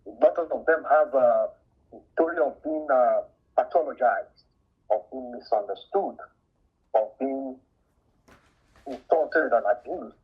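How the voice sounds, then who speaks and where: A man's voice: mid-range voice, slow pace, pauses often, husky, faint music behind. A man with a Nigerian accent giving personal testimony in a rhetorical, dramatic manner, asking and answering his own questions. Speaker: community participant giving testimony. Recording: dull lo-fi sound.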